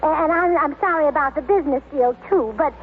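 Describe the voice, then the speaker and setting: A woman's voice: sits high, fairly quick, natural voice. An actress playing a scatterbrained, eager young wife in an old-time radio comedy, gushing and stammering as she goes. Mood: flustered, apologetic. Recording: noisy, tinny old-time radio recording.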